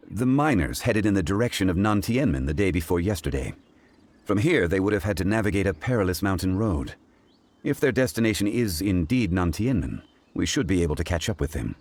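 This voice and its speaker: A man voicing a calm, grave, learned gentleman in a video game, formal and measured in manner. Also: sits low, slight background noise.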